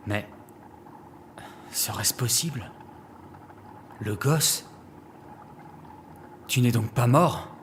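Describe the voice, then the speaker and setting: A male actor as an old doctor guarding a secret in an audio drama. He is hesitant, fearful and apologetic, with pitch that moves dramatically, over a noisy ambient background. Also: lively intonation, drawn-out words, long pauses. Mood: stunned.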